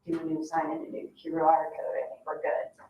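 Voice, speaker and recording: A woman's voice: low-pitched, monotone, a little fast, highs cut off, a little hiss or hum. A woman taking part in an informal discussion at a public meeting. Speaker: meeting participant. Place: indoor public meeting room. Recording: echoey room, distant microphone.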